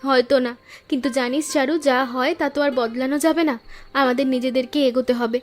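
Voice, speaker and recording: A woman plays the elder of two flower-selling sisters in a cartoon fable. Her voice is high and she talks quickly, in a nurturing, practical, reassuring way. Music runs softly behind her. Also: steady tone, lightly acted. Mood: resigned, comforting. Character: patient, caring elder sister, poor flower seller. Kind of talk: Bengali cartoon moral-story dialogue.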